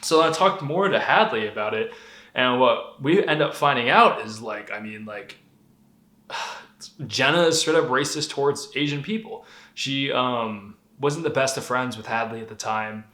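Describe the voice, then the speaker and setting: A man's voice: medium pitch, moderate pace. A young man who grew up in Colorado, talking candidly and with plenty of profanity in a casual vlog monologue. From Denver, Colorado. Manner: candid, profane, animated storytelling. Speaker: vlogger. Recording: echoey room.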